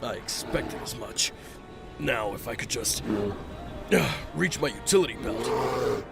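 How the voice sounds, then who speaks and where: An actor in a campy audio drama playing a stoic, self-assured caped hero. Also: male voice, medium pitch, animated, unhurried, gravelly, loud music behind the voice. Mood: resolute.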